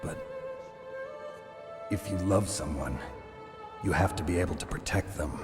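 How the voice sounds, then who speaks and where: An actor playing a gruff, terse, battle-hardened soldier in a video game, with a gravelly voice. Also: male voice, sits low, unhurried. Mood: stoic, low. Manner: terse, deadpan. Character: gruff, stoic battle-hardened male soldier on a covert mission. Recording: loud music under the voice. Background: loud cinematic music.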